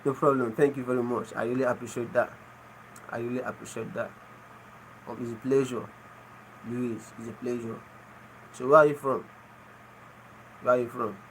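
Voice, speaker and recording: A man's voice: medium pitch, steady tone, moderate pace, pauses often, low-quality recording. A Nigerian man with an Igbo first language talks casually to his viewers on a livestream. He repeats each phrase and keeps asking people to explain what they mean. A faint air-conditioner hum sits behind him. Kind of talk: casual live chat.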